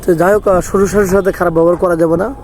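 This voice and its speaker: High male voice, Bangladeshi accent, rapid speech, informal interview host, faint outdoor traffic noise. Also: steady tone, darkish tone.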